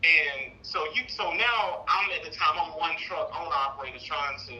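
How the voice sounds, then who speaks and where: A thirty-year-old man talking casually on a call, telling a personal story in a rambling, animated way. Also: fairly high pitch, normal speed, highs cut off. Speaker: caller seeking advice, trucking business owner.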